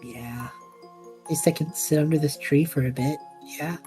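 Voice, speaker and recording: A woman's voice: sits low, normal speed, dark tone, faint music behind, lightly acted. A woman playing an easygoing, kind-hearted and modest young male adventurer in an audio drama. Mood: weary, sheepish.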